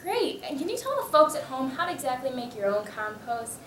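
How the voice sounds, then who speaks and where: A woman's voice: high-pitched, big pitch swings, fairly quick, fairly bright tone. A woman playing the host in a scripted mock TV news interview. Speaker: news interviewer in a readers theater skit. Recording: echoey room, distant mic, background noise.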